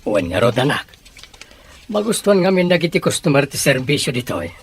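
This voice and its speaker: A chill dad who runs a repair shop, radio drama, light hiss behind. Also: male voice, a little high, big pitch swings, brisk. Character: older father who runs a repair shop, calm and proud.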